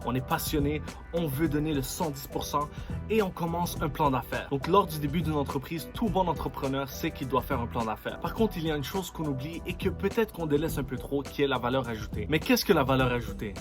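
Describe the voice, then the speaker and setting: A man's voice: fairly high pitch, animated, fairly quick. A man whose first language is Arabic, talking to camera about business, explaining with rhetorical questions. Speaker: entrepreneurship vlogger. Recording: music playing underneath. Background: background music.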